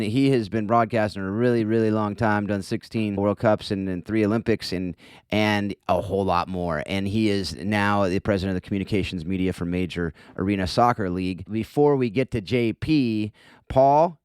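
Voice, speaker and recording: A smooth, clear male voice with a slightly low pitch, recorded close and dry. He hosts a relaxed podcast chat in a friendly way, often restarting and repeating words. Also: no room sound. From California. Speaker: podcast host.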